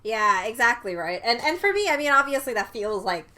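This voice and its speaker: A woman's voice: fairly high pitch, sing-song, fairly quick, bright, forceful tone. A woman whose first language is Cantonese, co-hosting a podcast in a chatty, laughing way. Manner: chatty, laughs often, restarts words. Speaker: podcast co-host. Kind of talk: casual film discussion.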